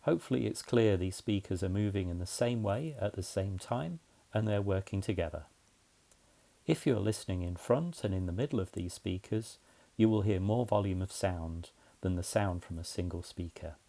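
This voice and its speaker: A man's voice: deep voice, moderate pace, vocal fry, mellow. A man narrating a scripted explainer in a methodical, plain-spoken way, as a tutorial presenter.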